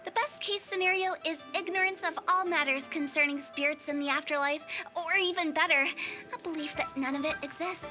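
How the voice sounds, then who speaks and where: A woman's voice: high-pitched, a little fast, bright, forceful tone, soft background music. A woman voicing a cheerful, eccentric young female funeral director in an anime game, playful, theatrical and chatty. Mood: earnest, explaining.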